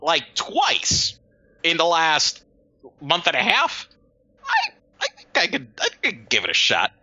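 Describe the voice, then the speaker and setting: A woman's voice: lowish voice, wildly swooping pitch, slow, sharp tone, husky, no echo, highs cut off. A woman in her forties from Puerto Rico, co-hosting a podcast in rambling casual chat with many restarts and asides. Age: 40s. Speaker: podcast co-host.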